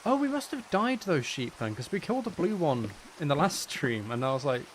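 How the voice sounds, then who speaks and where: A man's voice: a little high, sing-song, brisk, slight background noise. A man chatting casually on a live game stream in a rambling, self-deprecating way. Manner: mildly sweary. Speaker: game streamer and audio creator.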